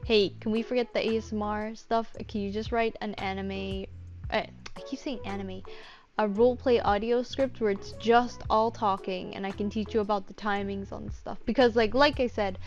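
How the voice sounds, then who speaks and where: A woman's voice: medium pitch, steady tone, normal speed, highs cut off, soft background music. A woman giving a casual, informal tutorial as a voice actor, thinking aloud in a self-deprecating way. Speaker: voice actor giving script-writing advice.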